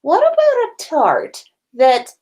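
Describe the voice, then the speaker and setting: A woman's voice: sits high, wildly swooping pitch, takes it slowly, a little mellow, pitched above the performer's own voice, full character voice. A woman voicing a cheeky, scheming teenage prankster wizard boy in a story reading.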